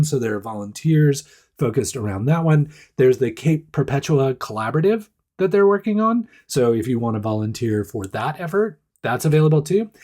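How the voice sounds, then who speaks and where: A man hosting a casual startup news rundown, hoarse and stuffed up with a nasal voice, chatty and self-deprecating. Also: mid-range voice, warm tone.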